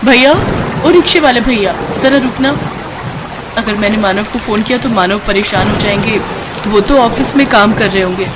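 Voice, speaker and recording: A woman voicing a young Indian housewife and mother in a cartoon story, caring but harried, with a brisk, nagging manner. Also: mid-range voice, very noisy, lightly acted. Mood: anxious. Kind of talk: Hindi cartoon moral story acting.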